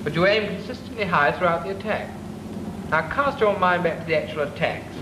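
A man's voice: high voice, animated, brisk. A man with a British accent playing an instructor in a 1940s film, matter-of-fact and mildly scolding as he reviews reports. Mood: stern. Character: stern male gunnery instructor. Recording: echoey, hissy old film sound.

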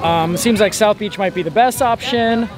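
A man's voice: high voice, moderate pace. A young man chatting casually in an outdoor vlog, informal and rambling. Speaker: travel vlogger. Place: outdoors at a beach and island park. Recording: outdoor vlog with background music.

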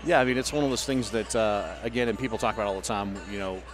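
A man's voice: on the low side, fast. A man in casual booth chat, talking in a rambling, upbeat way with many fillers, on a headset mic. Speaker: guest company rep. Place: busy trade show hall.

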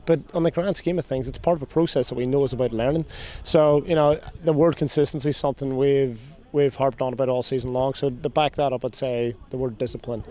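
A man in his thirties, a soccer coach giving a measured post-game interview outdoors, often repeating and rephrasing his words. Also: medium pitch, steady tone, mellow. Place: outdoor sports field at night. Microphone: handheld interview microphone.

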